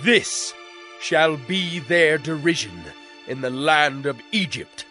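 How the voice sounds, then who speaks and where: A man playing a wronged, wrathful God pronouncing judgment, in a solemn, declamatory dramatic scripture reading with deliberate phrasing. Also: fairly high pitch, animated, pushed and bright, full character voice. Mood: anguished anger. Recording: music bed under the voice. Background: background music.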